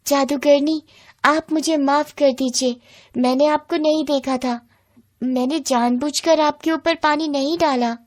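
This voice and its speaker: A high-pitched, plaintive young village woman, acted out by a female storyteller. She is poor and earnest, pleading her case in a moral bedtime tale. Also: fairly even intonation, a little slow. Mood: apologetic.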